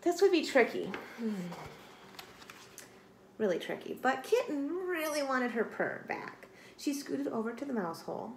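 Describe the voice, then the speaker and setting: A woman's voice: sits high, very animated, measured pace. A woman in her forties reading a children's story aloud expressively, like a teacher, recorded in a small room at home. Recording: echoey room, light background noise.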